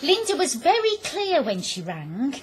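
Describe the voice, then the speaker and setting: An actress playing a pushy, self-satisfied middle-aged village wife, fussy and insistent, in a radio soap. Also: female voice, sits high, wildly swooping pitch, measured pace, a little hiss or hum, exaggerated voice. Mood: coaxing, insistent. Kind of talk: naturalistic British radio soap acting.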